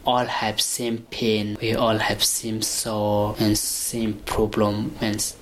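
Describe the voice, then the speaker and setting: A woman with a strikingly deep voice and a Nepali accent answers questions in unhurried, halting English. She keeps pausing to search for words, leaning on fillers as she goes. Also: flat delivery, slight background noise. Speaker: interviewee. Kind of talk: casual interview answers.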